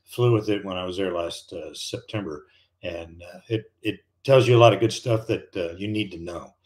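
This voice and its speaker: A man's voice: deep voice, normal speed, darkish tone. An older American man hosting a live stream, chatting casually and rambling with many fillers and restarts. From United States. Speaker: livestream host, retired pilot.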